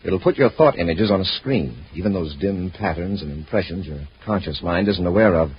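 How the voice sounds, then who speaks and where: An actor playing a brisk, commanding space patrol commander in 1950s radio drama style, authoritative and clipped. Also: male voice, deep voice, animated, slightly rough, heavily acted. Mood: firm, reassuring. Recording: muffled vintage radio recording.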